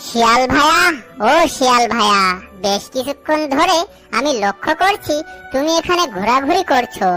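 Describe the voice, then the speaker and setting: A very high-voiced mother duck from a children's cartoon, acted out by a woman. She is trusting and anxious, with a plaintive, exclamatory way of talking. Music plays behind her voice. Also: lively intonation, moderate pace, pushed and bright, low-quality recording. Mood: curious, suspicious. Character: distressed mother duck, trusting and worried about eggs.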